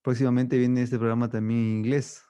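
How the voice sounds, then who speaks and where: Host, male, mid-pitch mellow voice, easygoing and halting delivery. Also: clean tone. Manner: relaxed, hesitant, many fillers like "bueno" and "¿no?". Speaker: podcast host. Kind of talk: casual chat.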